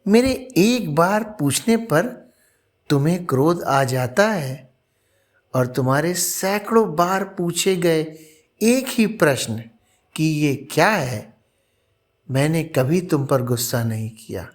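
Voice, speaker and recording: A man voicing a gentle, hurt elderly father in a storybook tale, speaking earnestly and with sorrow. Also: on the high side, lively intonation. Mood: hurt, reproachful. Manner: slow, sorrowful, earnest delivery.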